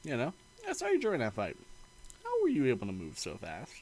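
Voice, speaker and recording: A man's voice: mid-range voice, wildly swooping pitch, fairly quick, a little mellow. A man reading a visual novel aloud in a let's play, narrating the story and acting out the dialogue expressively.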